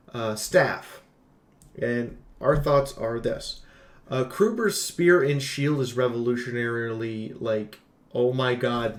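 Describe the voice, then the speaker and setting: A man's voice: medium pitch, sharp tone, roomy sound. A man giving a casual game review in an emphatic, exclamatory, jokey way, as a streamer talking through notes and ad-libs. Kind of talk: casual scripted game review with ad-libbed commentary.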